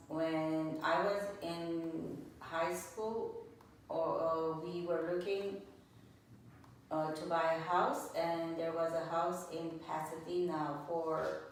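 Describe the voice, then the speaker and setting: A woman's voice: low-pitched, steady tone, slow. A woman in her sixties from Lebanon answering oral history interview questions in a plainspoken, hesitant way. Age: 60s. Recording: echoey room, distant mic, faint noise.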